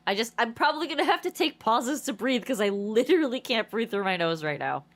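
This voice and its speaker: Woman, streamer, stuffy nasal congested voice, high pitch, rambling chatty storytelling. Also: brisk. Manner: rambling, animated storytelling with many restarts. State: congested, nose blocked. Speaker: game streamer. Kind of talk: casual livestream chat.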